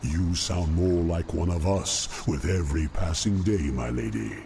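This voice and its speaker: Deep, creaky, scheming demon lord. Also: male voice, a little slow, background noise. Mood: sardonic. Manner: sardonic, formal. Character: scheming male demon dreadlord, disdainful, silky servant. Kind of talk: video game cutscene acting. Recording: game audio under clear music.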